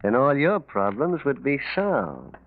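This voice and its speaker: A small-time racketeer in a 1940s radio crime drama. He is sly and sure of himself, talking in glib street slang with a lively, swinging pitch. The sound is dull and lo-fi. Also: a little slow. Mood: smooth, coaxing. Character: slick small-time crook, a sly, cocky male racketeer. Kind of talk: 1940s radio mystery acting. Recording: muffled lo-fi 1940s radio recording.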